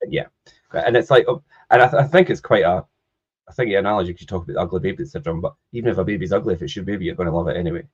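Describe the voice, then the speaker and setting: A podcast host in his thirties talks fast, repeating words as he gets going. His voice is very deep and husky. The recording sounds muffled and lo-fi. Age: 30s. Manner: quick, repeats words when starting a thought. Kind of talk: casual podcast chat. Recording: dull lo-fi sound.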